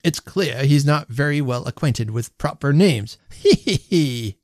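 A man voicing a smirking, patronizing, excitable little man in a storybook reading. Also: medium pitch, unhurried, no room sound, studio quality, voice pushed up, lightly acted. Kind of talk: storybook character voice.

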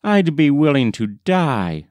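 A man's voice: on the high side, very animated, takes it slowly, a little mellow, no room sound, voice pushed up. A man reading a storybook voices a weary, troubled, stubborn lumberjack. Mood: weary, discouraged.

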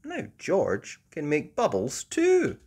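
Slow, very animated woman reading a storybook. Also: low voice, slightly rough. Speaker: storybook reader, channel host. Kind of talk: expressive children's read-aloud narration.

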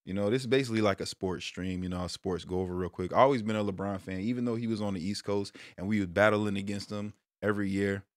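A man in his thirties from Brooklyn, hosting a casual sports talk stream from a small room at home, switching between loud hype and low muttered asides. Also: fairly even intonation, quick pace, few pauses, no echo, clean studio sound. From Brooklyn, New York. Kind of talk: casual monologue to viewers.

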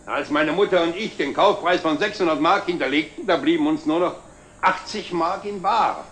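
An actor playing a jovial, self-made older company director, giving a proud, deliberate speech in a 1960s German TV drama. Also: male voice, on the high side, fairly bright tone, lightly acted. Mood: reminiscing, genial. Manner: expansive, deliberate speechmaking with wry chuckles. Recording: slightly noisy old 1960s TV soundtrack.

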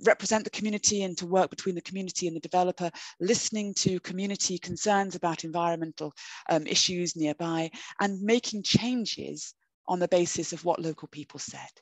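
A woman academic giving a recorded webinar lecture over a video call, measured and explanatory, with occasional "um"s and rephrasings. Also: on the low side, no room sound, low-quality recording. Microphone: computer webcam mic on a video call.

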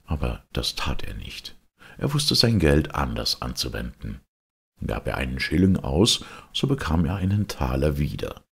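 A man narrating a fairy tale as a storyteller, expressive and theatrical. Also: extremely low pitch, a little slow, vocal fry, husky. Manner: theatrical, varied.

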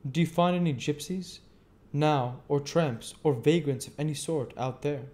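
Sly sneaky stranger guy, man putting him on, pokes with questions, raised pitch, a bit loud. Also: a little slow, natural voice. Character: secretive cunning male stranger in a pub.

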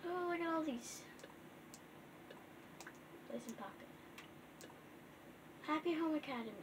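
A woman's voice: sits high, background noise. Chatty young girl filming her gameplay. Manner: chatty, reads game letters aloud haltingly. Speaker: gaming vlogger. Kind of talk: casual gameplay chat. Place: dim bedroom in front of a TV.

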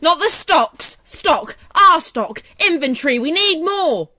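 A woman's voice: extremely high pitch, animated, takes it slowly, sharp tone, heavily acted. Woman playing an exasperated, sensible British shop manager. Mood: shouting frustration. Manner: sarcastic, weary, practical. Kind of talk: comic audio drama acting.

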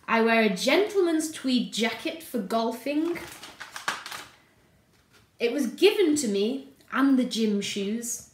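Woman acting theatrical old lady, high-voiced. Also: distant mic. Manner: theatrical, deliberate, drawn-out delivery. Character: eccentric posh old lady, grandly vain storyteller. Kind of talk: dramatic reading of a short story. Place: indoor room at home. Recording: roomy home sound.

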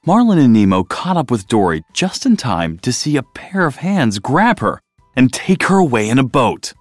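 A man reading a children's storybook aloud as narrator, in an expressive style, close to the mic. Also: medium pitch, normal speed, dry recording, faint music behind.

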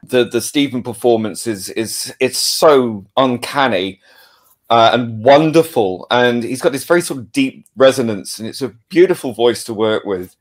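A man's voice: medium pitch, lively intonation, moderate pace. A man talking casually in an interview as an audio drama producer, enthusiastic and often restarting his phrases.

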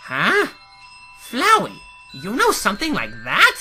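A woman's voice: fairly high pitch, wildly swooping pitch, measured pace, bright, forceful tone, exaggerated voice. A woman voicing a boastful, innocent, earnest male skeleton in a comic dub, with a theatrical delivery. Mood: flustered, hopeful. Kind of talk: comic dub character acting. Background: music.

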